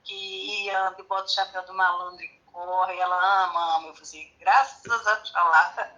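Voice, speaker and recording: Forties brazilian lady from rio, sharp bright voice, chatting casually on her phone. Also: on the low side, steady tone, moderate pace, highs cut off. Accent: Brazilian, Rio de Janeiro. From Brazil, Rio de Janeiro. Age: 40s. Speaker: live stream guest, viewer joining in. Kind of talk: casual chat.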